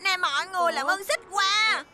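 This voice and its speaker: A woman's voice: extremely high pitch, a little fast, heavy background noise. A woman voicing an eager, hasty young male delivery van in a cartoon dub. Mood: urgent.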